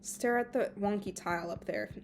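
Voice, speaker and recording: A woman's voice: mid-range voice, normal speed, a little hiss or hum, close to an everyday voice. A woman voicing a playful, teasing male fae student who fusses and instructs, in a storybook reading. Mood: brisk, fussing. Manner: breezy, chiding, instructing.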